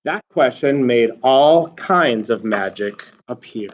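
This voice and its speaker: A man reading a children's story aloud like a teacher, with expressive, deliberate emphasis. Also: mid-range voice. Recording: slightly dull, lo-fi sound.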